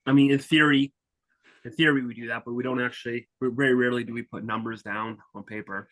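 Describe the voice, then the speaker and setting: A man's voice: medium pitch, steady tone, fast, a bit bright. Man thinking aloud on a webinar. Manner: thinks aloud, hedging with "right?" and "like". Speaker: webinar participant. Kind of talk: casual discussion.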